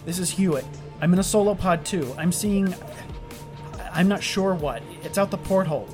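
A man playing a rattled, sarcastic starship chief engineer who mutters haltingly to himself in an audio drama. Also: high-pitched, over music, lightly acted. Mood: uneasy, searching. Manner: halting, self-talking, wry.

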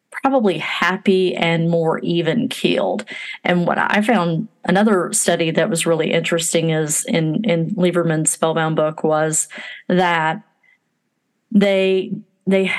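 A woman with a fairly low voice talks as a podcast host. She speaks casually and reflectively, thinking aloud in a conversational flow sprinkled with "like".

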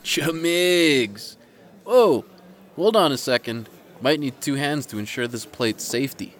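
A clownish, easygoing uncle in an audio drama. His mid-pitched voice swings up and down in a playful, folksy way. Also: expressive, unhurried, fairly bright tone. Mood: jovial, bustling. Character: jovial, food-loving uncle Porkchop, clownish and easygoing.

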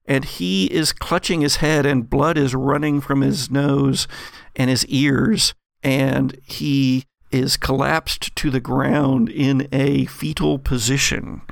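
A game master narrating a tabletop adventure in a dramatic, deliberate storytelling manner. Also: mid-range voice, flat delivery, gravelly, dry recording, clean studio sound.

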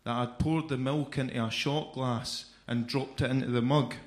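Brief: Edinburgh Scottish male comic, mid-pitched, chatty, live set in an echoey club. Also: steady tone, moderate pace, noisy background. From Scotland. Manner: chatty, keeps adding "right?". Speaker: stand-up comedian. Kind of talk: stand-up set. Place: comedy club stage.

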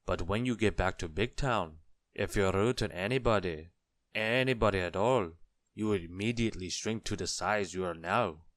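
A man acts a regal storybook goblin king. His very low voice is measured and admonishing, recorded dry and close. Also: a bit bright, dry recording. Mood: stern, measured. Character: stern, unhurried goblin king on his throne. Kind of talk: children's storybook character voice.

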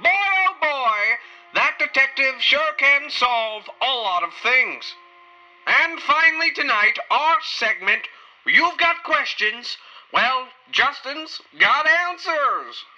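A high, very bright old-time radio news anchor played by a comic performer, excitable and theatrical. The words are drawn out slowly, in the manner of a vintage newsroom broadcast. The sound is dull and lo-fi, with music behind it. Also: heavily acted. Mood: upbeat. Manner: theatrical, drawn-out announcer delivery. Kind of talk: 1940s radio newsroom parody, scripted announcing.

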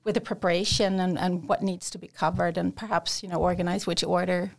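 A woman's voice: lowish voice, level tone, fairly quick, gravelly. A woman working as an academic English tutor, answering interview questions in a hesitant way with many fillers and restarts.

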